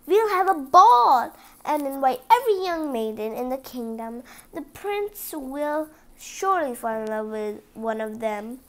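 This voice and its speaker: A woman's voice: sits high, animated, measured pace. Woman reading as a decisive, impatient storybook king. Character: royal old king, impatient for his son's wedding. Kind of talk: children's storybook read-aloud.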